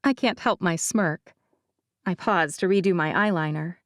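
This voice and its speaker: A woman's voice: lowish voice, normal speed, dry recording. Female narrator for first-person novel, scripted read, dry studio sound. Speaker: audiobook narrator.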